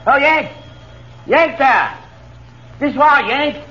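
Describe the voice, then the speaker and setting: An actor playing a good-natured, blunt lieutenant who talks in hearty old slang, in a 1940s radio drama. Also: male voice, a little high, very animated, brisk, pushed and bright. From Australia. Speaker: Australian cavalry lieutenant. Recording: dull old radio broadcast recording with faint hiss.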